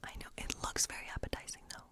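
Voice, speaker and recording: A woman playing a hospitable, eccentric woodland witch in an ASMR role play, speaking in a breathy whisper with a slow, coaxing, reassuring, rambling manner. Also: close to an everyday voice. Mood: encouraging, chatty. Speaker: ASMR performer.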